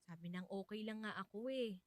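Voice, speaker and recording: An actress playing a stubborn, prickly, grieving young widow in a Filipino radio drama, with emotional, protesting delivery. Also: female voice, mid-range voice, a little fast, dry recording, close to an everyday voice. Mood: irritated, stubborn.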